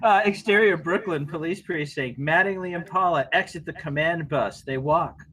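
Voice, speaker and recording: A man's voice: on the high side, moderate pace, fairly bright tone, rough recording. A man reading out stage directions as narrator at a table read, in a terse scripted delivery.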